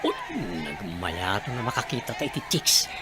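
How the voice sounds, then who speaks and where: A man's voice: fairly low pitch, sing-song, normal speed, over a noisy crowd, very noisy. A man acting a selfish, brash young husband who gambles and chases women in an Ilocano audio drama, with an Ilocano accent. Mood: playful, boastful. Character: selfish young husband and father, irresponsible womanizing cockfight gambler.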